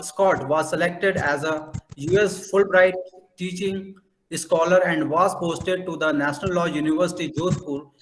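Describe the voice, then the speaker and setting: A man's voice: fairly high pitch, slight background noise, poor audio. Man formally reading a guest introduction. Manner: formal, reads steadily with occasional slips. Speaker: session host introducing the guest.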